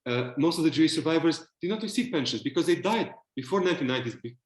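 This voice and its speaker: A man's voice: a little high, animated, fast, reverberant. A man from Romania with a Romanian accent, speaking as a panelist in a virtual panel discussion, thoughtful and hesitant with many fillers and restarts. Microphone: video call.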